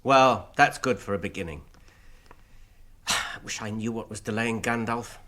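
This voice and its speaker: An actor playing a gentle, wistful hobbit gentleman in a radio fantasy drama, polite and reflective. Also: lowish voice, measured pace.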